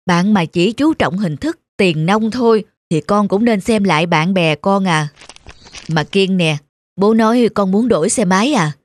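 A woman voicing a sensible middle-aged mother in a cartoon drama, concerned and gently admonishing. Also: mid-range voice, a little slow, close to an everyday voice.

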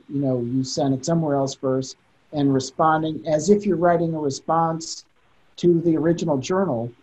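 A man's voice: a little high, warm tone. A middle-aged man, a journal editor, speaking candidly on a panel over a video call. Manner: thoughtful, candid, with occasional laughs. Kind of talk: panel discussion. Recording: dull lo-fi video call audio.

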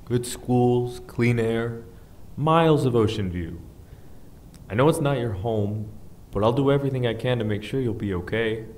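An actor playing a gentle, reassuring military husband and stepfather in an audio drama, speaking softly and earnestly. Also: mid-range voice, moderate pace, a little hiss or hum, close to an everyday voice. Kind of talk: naturalistic audio drama acting.

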